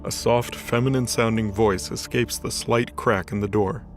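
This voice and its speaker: Low-voiced male story narrator. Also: steady tone, moderate pace. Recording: soft background music bed.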